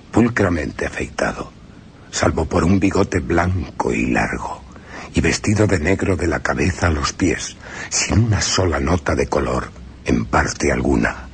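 A man's voice: a bass voice, lively intonation, measured pace, slight background noise, heavily acted. An actor playing a young male solicitor, who tells his frightening journey in tense first-person radio drama narration. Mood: fearful. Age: young adult. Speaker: narrator as character. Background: music.

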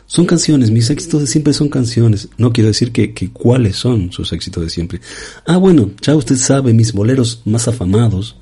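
A man's voice: medium pitch, lively intonation, normal speed, mellow, slightly rough. A man voicing a pompous, absurd aging bolero crooner who is a guest on an interview show, in a comedy sketch. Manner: grandiose, addresses host as young man.

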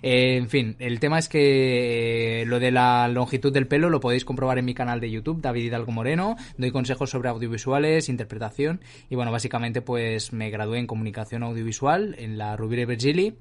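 A Spanish man of thirty with a voice of average pitch. He talks in an animated, jokey manner as a podcast co-host and often fills pauses with "eh". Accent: Spain. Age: 30s. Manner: animated, chatty, joking, fillers. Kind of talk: casual chat.

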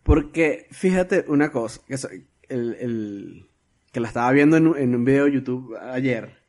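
A man's voice: medium pitch, expressive, unhurried, darkish tone. A man, co-hosting a casual film podcast, retelling scenes with slang, cursing and frequent restarts. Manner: storytelling, swearing freely, many restarts. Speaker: podcast co-host.

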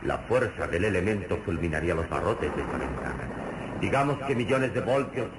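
An actor playing a calm, all-knowing male hero in a vintage radio serial, solemn and deliberate. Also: deep voice. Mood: solemn, confident. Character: calm, all-knowing heroic male adventurer, mentor to a boy. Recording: noisy old radio serial recording.

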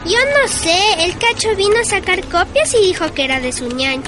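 A woman's voice: very high voice, very animated, moderate pace, over music. A female performer with an Ecuadorian accent playing a nosy, naive, playful little girl in a radio drama. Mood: naive, curious. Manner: childlike, playful. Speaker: actor in a radio serial.

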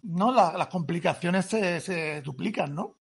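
A man's voice: sits high, unhurried, gravelly, breathy edge. A man hosting an interview, eager and prompting his guest, often ending lines with question tags. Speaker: podcast host.

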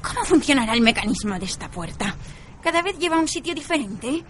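A woman's voice: high-pitched, big pitch swings, fast, a bit bright, slight background noise. A woman playing a feisty, nosy old cleaning woman in a radio drama. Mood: bewildered, curious.

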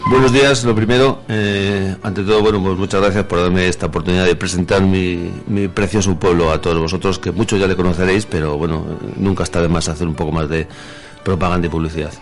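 A low-pitched man with a central Spanish accent talks as an interview guest. His manner is enthusiastic and conversational, with faint music underneath. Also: fairly even intonation, fast talker. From Madrid, Spain. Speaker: guest, village mayor. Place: radio studio.

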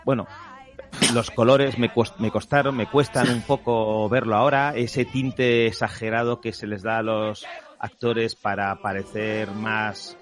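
A man's voice: on the low side, flat delivery, a little slow, faint music behind. A man talking casually about a classic film, eager to share trivia and anecdotes.

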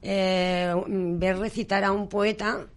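A woman, a poet guest on a radio show, speaking in a slow, emphatic, conversational way. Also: mid-range voice, flat delivery. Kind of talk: casual radio interview.

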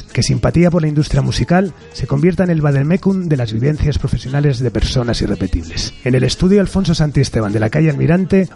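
A man's voice: medium pitch, creaky, warm tone. A man hosting a radio interview show, giving formal, polished introductions and asking questions. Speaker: radio host.

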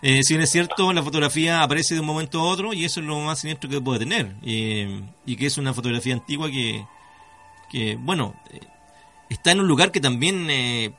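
A Chilean man hosts a conversational radio show in a bright, forceful, fairly high voice. He speaks haltingly, feeling for his words, with music playing underneath. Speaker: radio host.

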